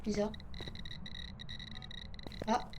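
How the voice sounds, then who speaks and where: A woman giving casual video game commentary, thinking aloud to herself and often repeating her phrases. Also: drawn-out words. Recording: clear background noise, game ambience.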